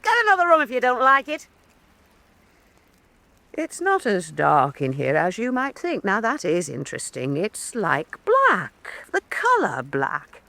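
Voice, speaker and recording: Sardonic, defiant female artist, period radio drama; blunt and mocking, animated pitch, dry close sound. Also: a little slow, gravelly, no room sound, exaggerated voice. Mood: sardonic, musing. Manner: blunt, mocking, theatrical delivery. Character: defiant, sardonic woman painter in historical Venice.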